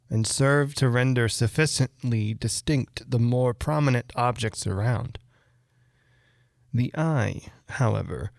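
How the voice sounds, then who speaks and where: A man reading a Gothic tale aloud as a literary narrator, in deliberate phrases with frequent pauses. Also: medium pitch, vocal fry, darkish tone, smooth, no echo, studio quality. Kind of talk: measured literary audiobook narration.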